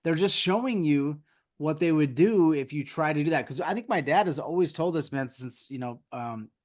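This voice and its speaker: A man in his forties with a slightly higher voice, hosting a casual podcast. He talks loosely, packing his sentences with fillers. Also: expressive, moderate pace, darkish tone. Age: 40s. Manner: loose, filler-heavy talk.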